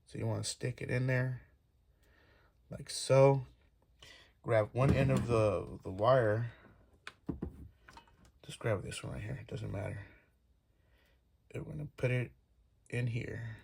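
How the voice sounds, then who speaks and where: A man's voice: mid-range voice, fairly even intonation, slow, pauses often, a little mellow. A man giving an informal hands-on DIY tutorial, talking through each step as he works. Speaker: DIY video host. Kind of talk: casual hands-on tutorial talk. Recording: clear background noise, clicks.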